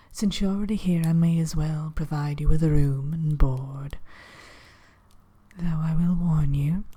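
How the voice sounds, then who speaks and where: A woman playing a haughty, grudging witch innkeeper in a whispered ASMR roleplay, speaking in prim, old-fashioned phrases with hesitant pauses. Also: low voice, mellow, slightly rough, heavily acted.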